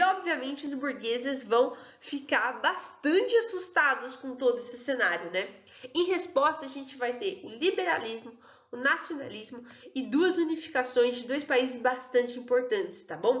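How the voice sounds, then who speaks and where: A woman teaching an online history class in a chatty way, often checking in with her students. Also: sits high. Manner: chatty, keeps checking in with "tudo bem?" and "né, pessoal?". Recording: echoey room, dull lo-fi sound.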